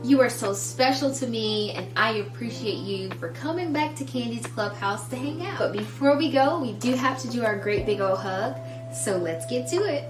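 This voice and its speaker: A woman hosting a children's story time, reading aloud with deliberate phrasing and speaking directly to young listeners. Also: a little high. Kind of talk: children's picture book read-aloud. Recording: roomy, distant mic, music underneath. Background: music.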